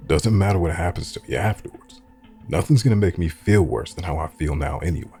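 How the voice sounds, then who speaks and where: Male actor as bitter grieving prison guard: extremely low voice, brooding, comic drama, soft music beneath. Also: brisk, close to an everyday voice. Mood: bitter, resolved. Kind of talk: comic dub voice acting.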